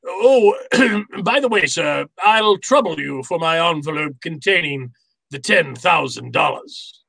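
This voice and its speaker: An actor playing a genteel, wily fat crime boss in old-time radio drama style, with florid, courtly manners. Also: male voice, fairly high pitch, animated, creaky, pushed and bright, husky, no room sound, exaggerated voice. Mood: suave resolve. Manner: florid, courtly, unhurried, chuckling politeness. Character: fat, genteel, wily middle-aged criminal mastermind.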